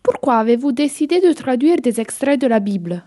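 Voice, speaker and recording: A woman with a high yet mellow voice presents a book on the radio. She reads a prepared script in a calm, measured way and puts questions to a guest. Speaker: radio book show host.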